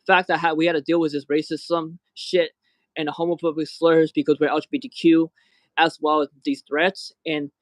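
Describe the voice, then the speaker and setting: Man, livestream host, angry rant, repeats himself, higher voice, dead-dry room. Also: flat delivery, normal speed, dry recording. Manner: repeats words and restarts when upset.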